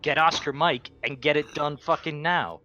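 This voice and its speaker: A man's voice: a little high, moderate pace, bright, forceful tone, dull audio, soft background music. A man bantering as a tabletop game player, blunt and given to swearing.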